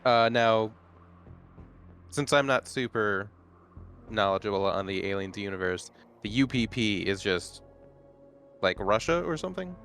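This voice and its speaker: A man's voice: fairly low pitch, expressive, measured pace, pauses often, a bit bright, faint music behind. A man talking casually as a player in a tabletop roleplaying game.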